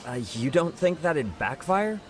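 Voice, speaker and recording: An actor playing a practical, questioning detective in a video game dub, speaking in a casual, matter-of-fact way. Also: male voice, fairly high pitch, very animated, measured pace, a little hiss or hum. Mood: casual, doubtful.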